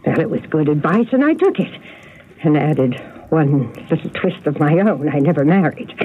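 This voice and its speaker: An actress playing a genteel, agitated older spinster telling family secrets in a radio mystery drama. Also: female voice, low-pitched, expressive, moderate pace. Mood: wistful, confiding. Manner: fretful, genteel, dramatic storytelling. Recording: narrow, noisy old radio broadcast recording.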